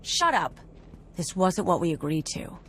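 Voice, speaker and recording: A woman's voice: lowish voice, sing-song, measured pace, fairly bright tone, slight background noise. A woman playing a cynical, guarded, streetwise mercenary in a video game, with a tough, offhand delivery. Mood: angry. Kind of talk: video game dialogue acting.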